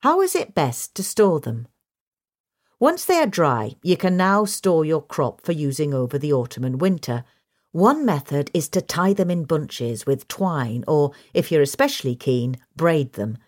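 A woman reading a scripted question-and-answer guide in a measured, instructional manner. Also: deep voice, very animated, darkish tone. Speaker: reader of a magazine article.

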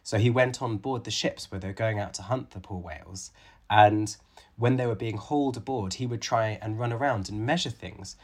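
A man's voice: lowish voice, normal speed. A man in his late thirties, a biologist being interviewed, talking thoughtfully with many restarts and fillers. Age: 30s. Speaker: guest, biologist and author. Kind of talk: conversational interview.

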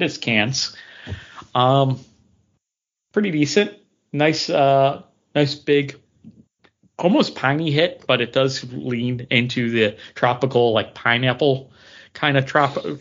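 A man's voice: medium pitch, unhurried, highs cut off. A man co-hosting a podcast with casual banter, telling stories and acting out bits of dialogue. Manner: animated storytelling, acting out dialogue. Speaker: podcast co-host.

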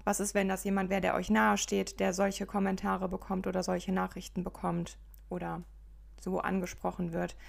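Gravelly-voiced German woman with a dialect. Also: medium pitch. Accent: regional German dialect. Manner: earnest, appealing directly to listeners. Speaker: podcast co-host. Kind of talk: casual podcast chat.

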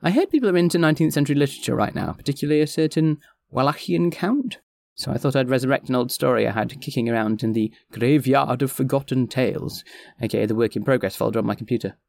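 Man, northwestern English accent, gravelly, playfully theatrical, podcast host, dry close sound, scripted announcement. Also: mid-range voice, fast talker, a little mellow, dry recording. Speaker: audio drama creator and host.

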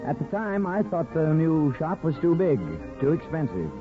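A man's voice: fairly high pitch, animated, moderate pace, lightly acted. An actor playing a weary, plain-spoken small-town barber and father, rueful and wry, acting and narrating in a 1950s radio drama. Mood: wry, reflective. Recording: phone-like old radio broadcast with music.

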